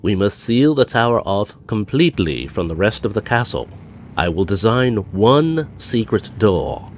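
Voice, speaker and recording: A man's voice: lowish voice, big pitch swings, a little hiss or hum. A man playing a sinister old wizard. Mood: respectful. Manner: slow, ominous pronouncements. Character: sinister old male wizard in disguise, cold and menacing.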